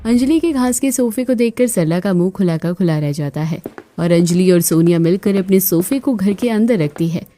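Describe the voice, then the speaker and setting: Mellow-voiced woman narrating a story. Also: on the low side, animated, moderate pace, a little hiss or hum. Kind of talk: scripted cartoon story narration.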